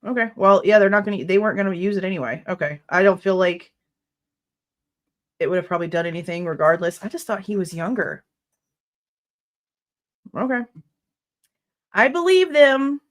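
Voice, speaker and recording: Stuffed-up young female host, mid-pitched, reads legal filings with exasperated commentary. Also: normal speed, pauses often. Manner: exasperated, blunt asides with mild swearing. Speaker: true crime livestream host. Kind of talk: reading a legal brief aloud with commentary.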